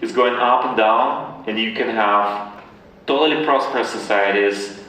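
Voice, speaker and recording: Echoey, low-voiced man speaking haltingly. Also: steady tone, pushed and bright. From Ukraine. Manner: hesitant, halting, repeating words. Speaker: interview guest, tech founder. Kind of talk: conversational Q&A answers. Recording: very echoey room, distant mic, faint noise.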